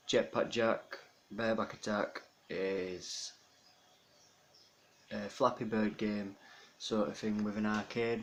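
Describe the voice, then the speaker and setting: A young man from South Yorkshire with a toned-down Yorkshire accent, talking about himself in a rambling, earnest way, pausing often to think. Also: fairly low pitch. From South Yorkshire, England. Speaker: vlogger introducing himself. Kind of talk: casual self-introduction monologue. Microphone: phone camera. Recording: phone camera with faint background noise.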